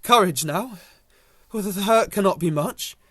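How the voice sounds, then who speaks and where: A man's voice: high voice, lively intonation, measured pace, a bit bright, husky, exaggerated voice. A man playing a passionate, remorseful young lover turned avenger, speaking verse earnestly in a Shakespeare audio drama. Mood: earnest, regretful. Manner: earnest, declamatory verse delivery.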